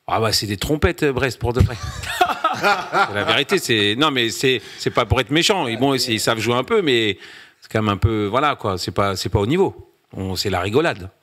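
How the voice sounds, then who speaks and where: Bright, joking French man. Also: medium pitch, expressive, normal speed. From Brittany, France. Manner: joking, laughing, dismissive. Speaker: fan panelist. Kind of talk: casual football chat.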